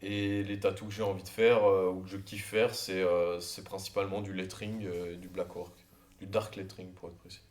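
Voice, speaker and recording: A man's voice: sits low, a little fast. A man who grew up in Brussels and works as a tattoo artist, chatting casually and hesitantly, with lots of fillers. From southern France, raised in Brussels. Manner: relaxed, hesitant, many fillers. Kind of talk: casual podcast chat.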